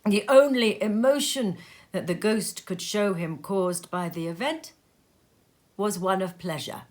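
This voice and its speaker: A woman storyteller giving an expressive, measured narration of a story, with dramatic pauses. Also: medium pitch. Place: sitting room.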